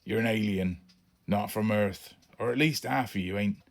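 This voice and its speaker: A man's voice: lowish voice, moderate pace, creaky, pitched above the performer's own voice. A man voicing a middle-aged, roguish space pirate father with folksy, colloquial talk in a children's audiobook. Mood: resigned.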